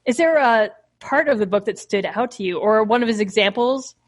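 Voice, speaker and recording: A young woman hosting a casual book podcast, her voice sitting a little high. She talks in a loose, chatty way, scattering fillers through her sentences. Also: lively intonation, moderate pace. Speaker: podcast host.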